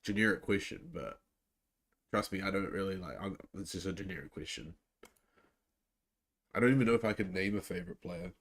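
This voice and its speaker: Young male Kiwi streamer, relaxed chatty delivery, slightly low voice, New Zealand accent. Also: fairly quick, pauses often. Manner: laid-back, chatty, restarts often. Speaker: live stream host. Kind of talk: casual live reaction commentary.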